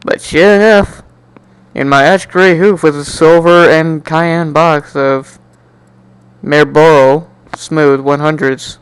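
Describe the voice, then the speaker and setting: A man doing an amateur dramatic reading of a first-person story in an animated, jokey way, now and then stumbling and repeating words. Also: a little high, slow pace, clear, resonant tone, no echo, poor audio. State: coughing, slightly sick. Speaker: amateur fan fiction reader.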